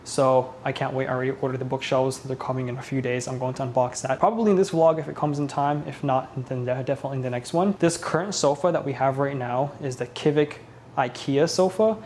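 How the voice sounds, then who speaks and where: A man's voice: medium pitch, fast talker, a little mellow, a little hiss or hum. A man with a mild head cold talking casually as the host of a home tour vlog, indoors in an empty living room.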